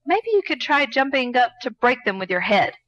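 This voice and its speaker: A woman's voice: on the high side, very animated, normal speed, a bit bright, highs cut off. A woman voicing a curious, reassuring young man, a chatty sidekick in a story read aloud.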